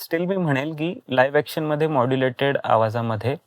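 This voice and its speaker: A man's voice: mid-range voice, fairly quick, dry recording, clean studio sound. A man with an Indian accent, a voice actor and podcast guest, explaining step by step in casual talk with frequent check questions.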